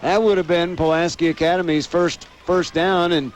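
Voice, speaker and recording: American male, radio play-by-play announcer, fairly high voice, live football call, faint outdoor noise. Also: normal speed. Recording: noisy outdoor stadium radio broadcast. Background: steady outdoor ambient noise.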